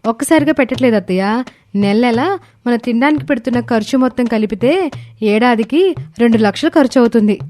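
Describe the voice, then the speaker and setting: A woman voicing a miserly young daughter-in-law in a story, a brisk and cheeky schemer with a pert, emphatic delivery. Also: fairly high pitch, darkish tone, close to an everyday voice. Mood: insistent. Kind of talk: animated moral story dialogue.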